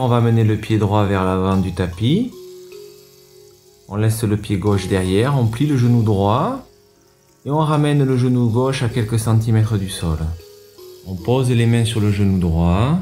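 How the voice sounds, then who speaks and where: A man leads a yoga session in a low, very soft-toned and mellow voice. He gives measured instructions one step at a time, leaving pauses between them. Faint music plays underneath. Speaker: yoga teacher and radio show host. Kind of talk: guided yoga class instruction.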